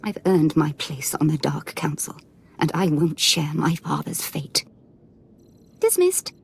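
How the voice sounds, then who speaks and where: A sadistic dark lady of a space fantasy game, with a low, husky voice. She is imperious and sweetly mocking, every line edged with menace. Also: big pitch swings, measured pace, heavily acted. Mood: imperious, menacing. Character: menacing, playfully sadistic female Sith lord. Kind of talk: scripted game dialogue.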